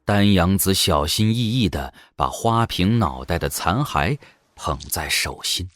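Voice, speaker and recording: A man's voice: deep voice, expressive, unhurried, a bit bright, soft background music. A man narrating an audio drama in a scripted, dramatic storytelling manner.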